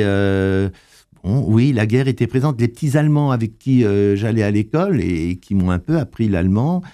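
Low gravelly older man, halting. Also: dark tone. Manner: hesitant, full of pauses and fillers. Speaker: interviewee, translator. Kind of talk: conversational interview answers.

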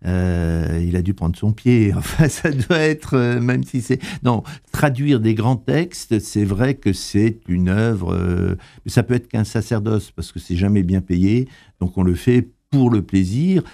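An older man talks through his past in an interview, stopping often to search for words. His voice is low and mellow, with a gravelly edge. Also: expressive. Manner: hesitant, full of pauses and fillers. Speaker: interviewee, translator. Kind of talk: conversational interview answers.

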